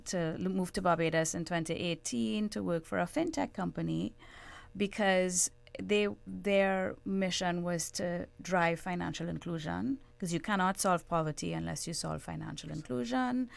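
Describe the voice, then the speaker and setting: Middle-aged Trinidadian woman, low voice with vocal fry, earnest guest analyst in conversational interview. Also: moderate pace. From Trinidad and Tobago. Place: radio studio.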